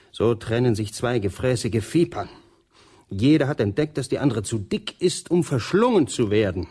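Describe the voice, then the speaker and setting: An actor in a radio play voicing a scandalized eavesdropper who declaims with indignation, in a husky voice. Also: male voice, mid-range voice, a little mellow, full character voice. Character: scandalized eavesdropping man moralizing.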